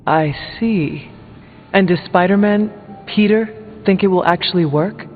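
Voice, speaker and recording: A serious warrior hero from a video game, with a rather high voice. He speaks formally, with measured bluntness. The audio is thin and narrow, with long reverb around it. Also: soft background music. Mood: questioning. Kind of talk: video game dialogue acting.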